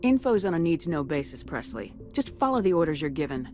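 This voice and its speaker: A woman's voice: low-pitched, very animated, fast, a little mellow, faint music behind. A woman playing a firm, businesslike military commander in a science fiction video game. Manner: firm, authoritative.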